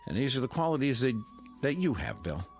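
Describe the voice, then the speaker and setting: An American actor playing a genial, sentimental middle-aged neighbor who makes a halting, teasing after-dinner speech in a radio drama. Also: male voice, medium pitch, very animated, soft background music.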